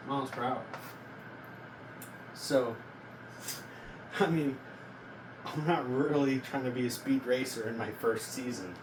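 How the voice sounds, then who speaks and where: Echoey, distant young man rambling to camera. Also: mid-range voice. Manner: rambling, halting, self-questioning. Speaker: vlogger. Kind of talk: casual self-reflective vlog talk. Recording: echoey, noisy, distant from mic.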